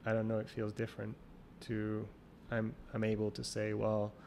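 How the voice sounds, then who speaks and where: Gravelly low-voiced man, flat delivery. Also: normal speed. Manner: rambling, restarts often, thinks aloud. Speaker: guest, champion water skier. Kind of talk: casual interview conversation. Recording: remote call recording with faint noise.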